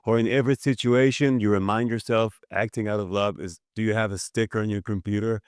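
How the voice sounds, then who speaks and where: A man's voice: lowish voice, fairly even intonation, brisk, darkish tone, clear, resonant tone, no room sound, clean studio sound. A man hosting a podcast, asking curious, probing questions. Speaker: podcast host. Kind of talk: interview questions.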